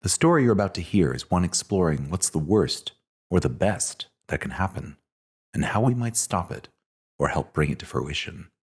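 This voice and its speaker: A male host reads a scripted narration. His voice sits low, with a husky, slightly rough texture throughout. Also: lively intonation, normal speed.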